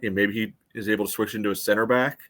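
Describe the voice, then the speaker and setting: A man co-hosting a soccer podcast, thinking aloud in casual analysis with a measured, hedging manner. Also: lowish voice, fairly even intonation, a bit bright. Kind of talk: casual sports analysis chat.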